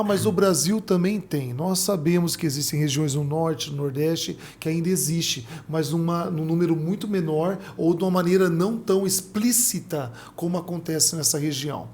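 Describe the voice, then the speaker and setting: A Brazilian man telling lively stories as a missionary guest in a casual interview. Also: a little high. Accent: Brazilian Portuguese. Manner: animated, vivid storyteller.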